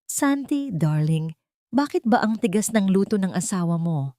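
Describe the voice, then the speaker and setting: A woman's voice: mid-range voice, animated, normal speed, mellow, dry recording, studio quality, lightly acted. A woman voicing a haughty, affected mistress who complains and sneers, in an audio drama. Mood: mocking complaint.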